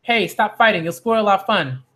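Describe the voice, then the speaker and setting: A man's voice: high voice, animated, normal speed, bright, forceful tone, pitched above the performer's own voice. A man reading the parts of carefree, grog-loving pirate crewmen from a manga in a boisterous, jolly manner. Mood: rowdy.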